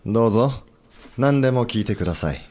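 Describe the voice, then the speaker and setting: An actor playing a resolute, Western-minded navy commander in a historical audio drama, speaking formally and persuasively with assurance. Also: male voice, mid-range voice, lively intonation, fast, darkish tone, natural voice. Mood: composed, assured.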